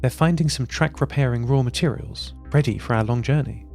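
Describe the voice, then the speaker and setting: Man voicing a young woman, gentle, caring, soft-spoken, gravelly edge. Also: mid-range voice, fairly quick, soft background music, voice pushed up, close to an everyday voice.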